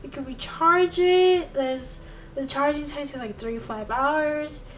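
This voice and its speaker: A young woman with a soft voice, chatting casually through a product review in a small home studio, gasping and laughing often. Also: high voice, measured pace, slight background noise. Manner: bubbly, chatty, frequent gasps and laughs. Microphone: studio mic on boom arm.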